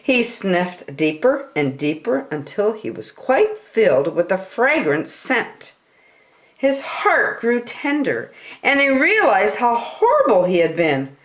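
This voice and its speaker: A woman in her sixties reading a children's picture book aloud with emphatic, expressive storytelling. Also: medium pitch. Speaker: story time reader. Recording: roomy home recording.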